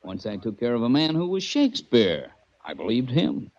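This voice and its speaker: An actor playing a dim, gentle-mannered hulking male orderly in a 1940s radio drama, slow-witted and placating. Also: medium pitch, huge pitch swings. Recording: muffled 1940s radio recording.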